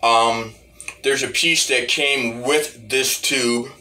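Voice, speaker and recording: A man giving a casual, rambling demo of music gear, halting and repeating his phrases as he goes. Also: medium pitch, steady tone, sharp tone. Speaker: hobbyist musician reviewing talk box gear.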